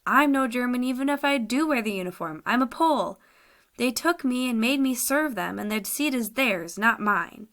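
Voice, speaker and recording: A woman's voice: medium pitch, expressive, moderate pace, fairly bright tone. A desperate young soldier begs earnestly, his voice rising and urgent, as a woman reader puts him on. He sounds lonely and frightened, pleading in a storybook reading. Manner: pleading, emotional.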